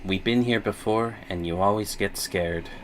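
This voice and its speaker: A man's voice: on the low side, normal speed, slight background noise, rough recording. A man livestreaming a visual novel, giving casual commentary and reading choices aloud.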